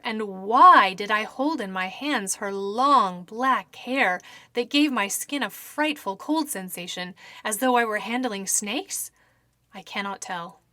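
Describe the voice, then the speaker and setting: A woman's voice: medium pitch, bright, forceful tone, no room sound. A woman reading a first-person horror story as dramatic scripted narration, with theatrical, precise delivery. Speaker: story reader.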